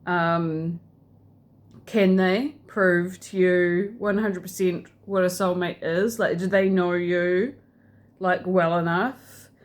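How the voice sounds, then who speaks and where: A woman's voice: lowish voice, fairly even intonation, takes it slowly. A woman with a New Zealand accent, rambling casually to camera in a vlog, with many ums and restarts. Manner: hesitant, many fillers and restarts. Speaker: vlogger.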